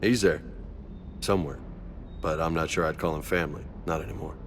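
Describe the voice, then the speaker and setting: A man's voice: very deep voice, steady tone, brisk, a little hiss or hum. A man playing a cocky, easygoing young marine in a science fiction video game, casual and slangy. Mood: relaxed.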